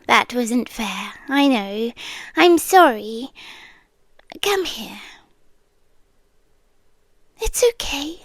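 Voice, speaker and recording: A British woman playing a possessive, adoring vampire girlfriend in an ASMR roleplay, speaking softly and breathily and often slipping into a whisper. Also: high voice, dry recording, full character voice. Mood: soothing, teasing. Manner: soft, breathy, often dropping into whispers, coaxing. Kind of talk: ASMR roleplay, slow intimate delivery with whispering.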